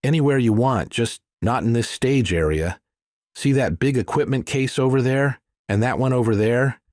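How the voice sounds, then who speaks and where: A male narrator puts on a smooth-talking rock guitarist with easy charm. His voice sits higher than the reader's own, with a casual, coaxing bro manner fit for a thriller audiobook. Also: moderate pace, darkish tone, no echo, clean studio sound, natural voice. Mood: friendly, coaxing. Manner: friendly, laid-back charm.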